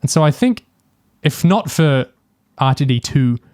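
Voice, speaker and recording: A man's voice: on the high side, animated, unhurried, darkish tone. Man musing in casual podcast discussion. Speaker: podcast co-host.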